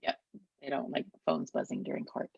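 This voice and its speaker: A woman attorney hosting a casual interview, affirming her guest with quick short acknowledgements. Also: very deep voice, expressive, gravelly, a little mellow, breathy edge, no room sound. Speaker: attorney and host. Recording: dull, lo-fi sound.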